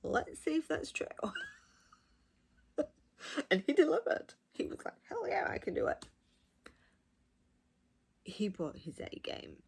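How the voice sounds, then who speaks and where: A woman giving a casual, unscripted review of audiobooks, gushing and emphatic, speaking in short, halting phrases. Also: sits high, wildly swooping pitch, creaky.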